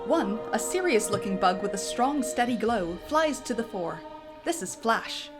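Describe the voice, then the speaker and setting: A woman's voice: fairly high pitch, animated, a little slow, music in the background. A woman narrating a film script theatrically, with a comedic dramatic flair. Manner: theatrical, breaks easily into giggles.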